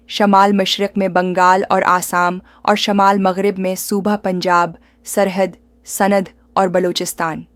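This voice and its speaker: A woman reading a scripted history documentary narration in a formal, measured manner. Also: mid-range voice, dry recording. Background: music under the voice.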